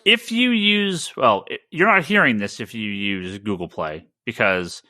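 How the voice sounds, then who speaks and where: A man with a very bright, forward voice at an average pitch hosts a casual podcast. He talks in an informal, rambling, conversational way. The sound is close and dry. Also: animated, a little slow, no echo. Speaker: podcast host. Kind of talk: casual podcast chat with listener shout-outs and plugs.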